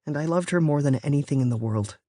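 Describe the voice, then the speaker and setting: A narrator reading a children's novel in an expressive, first-person storytelling way. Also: medium pitch, fast talker, no echo, clean studio sound. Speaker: audiobook narrator. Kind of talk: scripted audiobook narration.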